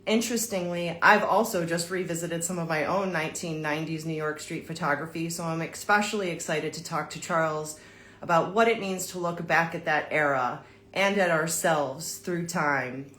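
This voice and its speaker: A woman's voice: sits low, steady tone, normal speed, a bit bright. A woman hosting a live online interview, chatty and upbeat. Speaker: host.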